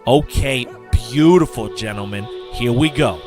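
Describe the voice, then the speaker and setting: A man playing a fussy, bossy photographer in an audio drama, giving halting instructions. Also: mid-range voice, a little mellow, faint music behind, close to an everyday voice. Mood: fussy, directing. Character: fussy, bossy male photographer directing a group.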